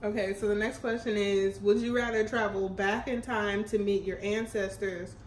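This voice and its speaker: A young woman in her twenties from Houston, talking in a relaxed, chatty way and laughing easily. Her mid-height voice sits in a roomy, echoey space. A faint hiss runs underneath. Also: medium pitch, normal speed. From Houston, Texas, US. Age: 20s. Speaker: YouTube co-host. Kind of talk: casual chat during a mukbang Q&A. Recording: echoey room with faint noise.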